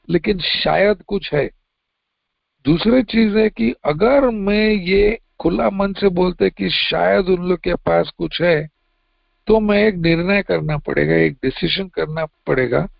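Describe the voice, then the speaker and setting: Man, Mumbai accent, high and gravelly, plain testimony share, dull dry lo-fi audio. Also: no echo. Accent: Mumbai Hindi. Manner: plain, conversational, mixes in English phrases. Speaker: recovering member sharing at a meeting.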